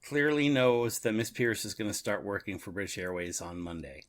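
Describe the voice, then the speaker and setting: A man's voice: fairly low pitch, expressive, moderate pace. A man co-hosting a podcast, chatting casually and agreeably, often affirming what is said. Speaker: podcast co-host. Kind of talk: casual chat.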